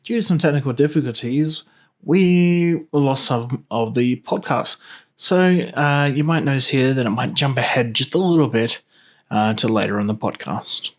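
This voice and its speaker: A man with a medium-pitched voice speaks directly to podcast listeners. He delivers an informal announcement in everyday wording. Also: moderate pace. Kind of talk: informal spoken notice to listeners.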